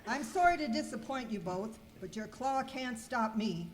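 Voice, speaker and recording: A woman's voice: a little high, lively intonation, normal speed, natural voice. A woman playing a confident, wisecracking cleaning superhero in an amateur comic radio skit, declaiming in mock-heroic style. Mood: defiant. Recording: clearly noisy live room recording.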